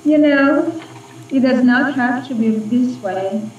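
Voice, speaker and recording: A woman's voice: high-pitched, slow. A woman playing a seductive, scheming Russian agent ghost in a radio play, with a Russian accent and a purring, taunting delivery. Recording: dull, echoey, distant, slightly noisy recording.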